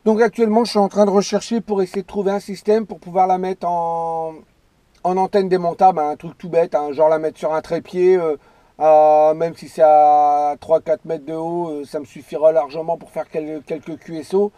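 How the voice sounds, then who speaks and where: Bright-voiced man on CB radio. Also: on the high side, level tone, normal speed, no echo. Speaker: amateur radio operator. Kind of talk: casual radio chat. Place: in or beside a parked car outdoors.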